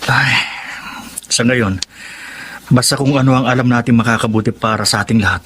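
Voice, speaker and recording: An actor playing a dutiful, worried husband and father in a Filipino radio drama, measured and serious, with a Filipino accent. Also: medium pitch, music in the background, lightly acted. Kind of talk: Tagalog radio drama acting.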